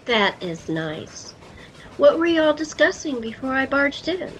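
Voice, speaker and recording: A woman playing a calm, gently amused goddess of death in an audio fantasy drama, speaking deliberately and soothingly. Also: sits high, a little hiss or hum, rough recording. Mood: curious, gentle.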